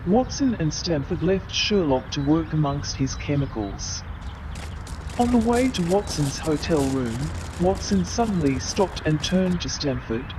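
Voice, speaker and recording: A man's voice: on the high side, lively intonation, a little slow, noisy background. A man narrating a staged radio play, reading scripted story passages between the characters' lines. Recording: crowd and watery sounds behind.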